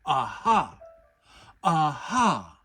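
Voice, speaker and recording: A man's voice: on the high side, very animated, extremely slow, bright, forceful tone, breathy edge, no echo, faint music behind, full character voice. A man voicing a simple-minded, humble toy bear who speaks in an earnest, musing way in a storybook reading.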